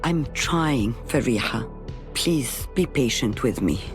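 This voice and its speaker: An actress playing a weary, protective elderly mother and veteran sniper in a video game, with a dry, measured manner. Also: extremely low pitch, lively intonation, over music, full character voice. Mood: tender, earnest. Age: older.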